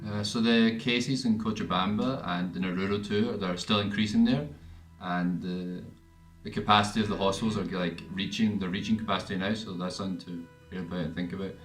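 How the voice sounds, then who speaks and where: A young man with a Glasgow accent speaks in a low, quick voice and pauses with fillers. An echoey room surrounds him, and faint guitar music plays underneath. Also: steady tone, a bit bright. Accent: Scottish, Glasgow. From Scotland. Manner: hesitant, with fillers. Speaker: short-term mission worker. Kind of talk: recorded personal update.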